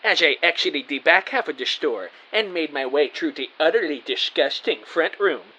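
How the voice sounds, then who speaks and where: An actor playing an excitable, anxious amateur spy who narrates his own story in an audio drama. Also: fairly high pitch, normal speed, lo-fi sound. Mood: anxious narrating. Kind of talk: scripted first-person story narration. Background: music.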